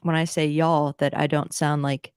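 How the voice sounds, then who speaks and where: Low-to-mid voiced woman on dry mic. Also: level tone, moderate pace, smooth, dry recording. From southern United States. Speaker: co-host of a baseball talk show. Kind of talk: casual chat.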